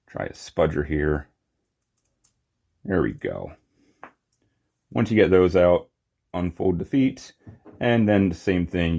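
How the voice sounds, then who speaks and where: Deep, gravelly man, casual demo. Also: darkish tone, lo-fi sound. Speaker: toy collector and reviewer. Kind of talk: casual unscripted hands-on product demonstration.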